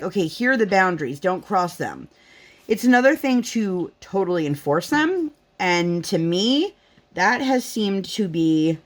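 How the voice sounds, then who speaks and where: A woman, a mother and vlogger, telling rambling family stories in a casual chat at home, full of fillers and acted-out quotes. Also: on the low side. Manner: chatty, rambling, lots of fillers, acts out quotes. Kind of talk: casual storytelling chat while crafting.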